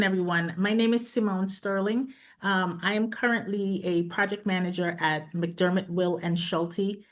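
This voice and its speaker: Woman from Saint Vincent and the Grenadines, panelist, somewhat low voice, halting with fillers. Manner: hesitant, many fillers. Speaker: panelist, project manager. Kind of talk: panel self-introduction.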